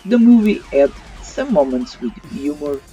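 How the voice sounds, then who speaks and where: A man narrates an opinionated review as the host, speaking English as a second language. His delivery is animated and his tone is soft and mellow. He sounds set back from the microphone, with faint music underneath. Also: a little high, a little slow, distant mic, highs cut off. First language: not English. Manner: non-native phrasing. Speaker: host of a retro gaming video channel.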